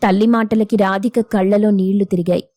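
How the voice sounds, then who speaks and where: A woman narrator delivers a scripted moral tale. Her voice is mid-pitched for a woman. The recording is dry and close, without room sound. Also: fairly even intonation, brisk, mellow, no room sound.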